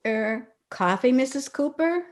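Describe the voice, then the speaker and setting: A woman's voice: high voice, expressive, a little slow. A woman playing an obliging, polite household maid in an old-time radio sitcom.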